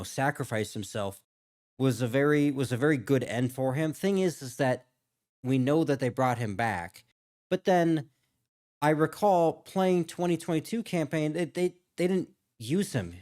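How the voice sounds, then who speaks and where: Guy doing a casual gaming reaction, kinda rambly, thinking out loud, normal mid pitch. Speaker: gaming reaction video host.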